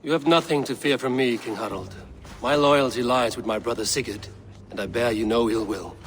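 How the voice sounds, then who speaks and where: Man as a stoic mid-pitched Viking warrior. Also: a bit bright, natural voice. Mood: calm, guarded. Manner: restrained, terse, measured. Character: stoic, guarded, loyal male Viking warrior. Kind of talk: dramatic scripted game acting.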